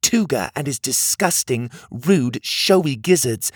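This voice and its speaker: Man putting on a shrill little cog girl. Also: lively intonation, a little slow, husky, no echo, studio quality. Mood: urgent. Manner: shrill, impatient and scolding. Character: small bossy mechanical cog girl, feisty. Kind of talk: storybook character voice.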